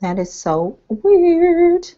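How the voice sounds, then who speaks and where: A woman with a tired, slightly hoarse voice walking through a screen-share tutorial, rambling and thinking aloud with many ums. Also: extremely high pitch, big pitch swings, very slow, warm tone. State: losing her voice, a bit hoarse. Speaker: online marketing trainer. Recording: dull lo-fi screen-capture audio.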